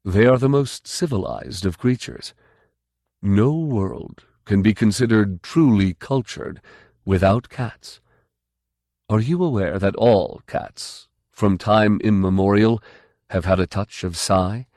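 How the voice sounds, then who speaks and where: A male narrator voicing a solemn, pedantic man who speaks slowly and formally. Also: fairly low pitch, a little mellow, no echo, studio quality. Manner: slow, deliberate, dryly formal. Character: solemn, pedantic large male trader-scientist.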